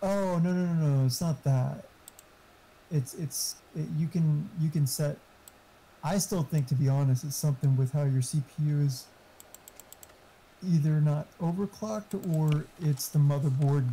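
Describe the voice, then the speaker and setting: A man's voice: on the high side, measured pace, warm tone, low-quality recording. A man chatting casually and giving tech advice, swearing freely as he goes. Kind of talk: casual chat.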